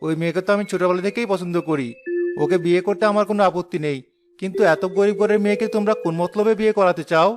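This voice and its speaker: A spoiled young village man from a cartoon, played by a man, high-pitched and quick. He comes across brash, with music loud behind him. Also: close to an everyday voice. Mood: pleased, curious. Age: young adult. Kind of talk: cartoon voice acting.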